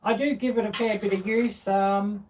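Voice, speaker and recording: A middle-aged woman chatting casually and unscripted as a live-stream cooking host, halting and rambling as she searches for words. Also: mid-range voice, steady tone, a little mellow. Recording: distant mic, clear background noise.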